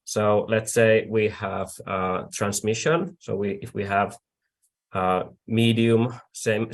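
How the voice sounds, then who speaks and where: A man with a Finnish accent explaining things deliberately and haltingly, like an online lecturer. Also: lowish voice. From Finland. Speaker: accordion artisan, presenter.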